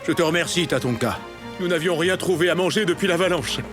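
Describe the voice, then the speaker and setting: A man plays a fatherly cartoon moose chief with a fairly high male voice. He is solemn and reassuring, speaking formally over loud music. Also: fairly quick, natural voice. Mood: relieved, grateful. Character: steady, fatherly adult male moose herd leader. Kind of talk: French cartoon dub acting.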